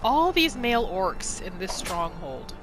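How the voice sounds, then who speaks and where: A woman gives casual roleplay commentary on a video game. Her pitch moves around in a lively way as she chats and muses, with music clearly behind her. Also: unhurried. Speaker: gaming video host.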